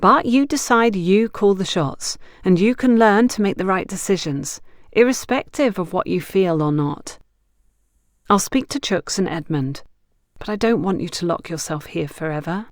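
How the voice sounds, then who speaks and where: A woman voicing a composed, protective woman in audiobook dialogue, speaking in a measured, earnest and firm way. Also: medium pitch, expressive, dry recording, studio quality, close to an everyday voice. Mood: reassuring.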